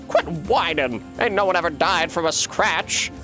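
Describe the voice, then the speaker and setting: A man's voice: fairly high pitch, lively intonation, moderate pace, bright, forceful tone, faint music behind, pitched above the performer's own voice. A man playing a vulgar, fierce old grandmother. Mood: scornful. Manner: coarse, gruff, swearing.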